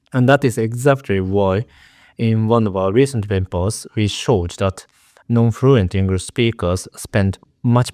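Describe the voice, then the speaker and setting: Earnest Japanese-accented man, low voice. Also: a little mellow, no echo, studio quality. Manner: earnest, careful, with repeated restarts. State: nervous. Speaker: researcher. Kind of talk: prepared interview answers.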